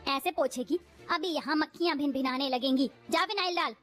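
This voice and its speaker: A woman's voice: high-pitched, a little fast, fairly bright tone. A woman voicing a cruel, domineering mother-in-law in a cartoon who scolds and taunts. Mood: taunting.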